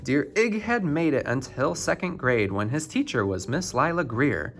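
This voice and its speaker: A man reading a rhyming children's storybook aloud in an expressive, theatrical storytelling style. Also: fairly high pitch, normal speed, faint music behind. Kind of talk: scripted rhyming picture-book narration.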